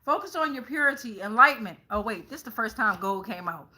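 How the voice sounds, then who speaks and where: A woman's voice: on the high side, animated, fast talker, pushed and bright. A middle-aged woman with an American accent doing a casual live tarot reading in a sassy, emphatic way, swearing freely. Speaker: tarot reader hosting a live stream.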